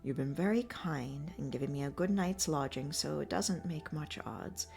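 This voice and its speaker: A woman's voice: sits low, normal speed, creaky, a little mellow, faint music behind. A woman voicing a sly, cheerful peasant man who grumbles for show while he bargains. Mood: bargaining. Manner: scheming, mock-complaining.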